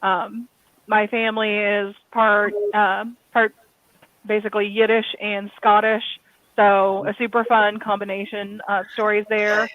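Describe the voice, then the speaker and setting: A woman's voice: medium pitch, flat delivery, unhurried, no room sound, narrow, tinny audio. A woman from Kentucky with an American accent, chatting and explaining casually as an author on a discussion panel. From Kentucky, USA.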